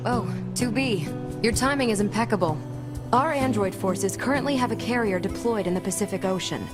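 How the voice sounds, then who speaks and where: A woman's voice: medium pitch, brisk, close to an everyday voice. A woman playing a direct, wry android resistance leader in a video game, informal but businesslike. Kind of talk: English video game voice acting. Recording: game music playing behind.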